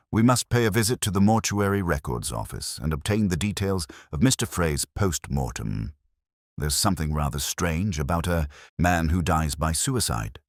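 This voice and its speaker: A keen, analytical Victorian detective, as a male narrator plays him, speaks in a very deep and animated voice. He is precise, inquisitive and coolly commanding, like a storybook sleuth giving orders to his companion. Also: no echo, clean studio sound. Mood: brisk, intrigued. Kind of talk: audiobook character dialogue.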